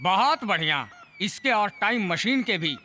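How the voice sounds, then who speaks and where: A man's voice: high voice, animated, a little slow, pushed and bright, slight background noise, heavily acted. A man voicing an irritable, bossy inventor in a cartoon dub, snappish and domineering. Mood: agitated. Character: irritable, bossy male cartoon inventor guarding his laboratory. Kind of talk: Hindi cartoon dub acting.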